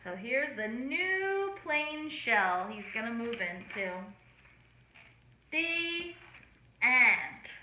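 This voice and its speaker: Bright, high-voiced woman reading a storybook. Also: slight background noise. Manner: animated, deliberate enunciation for children. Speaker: storyteller reading a picture book. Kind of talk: expressive children's read-aloud. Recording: roomy, echoing room.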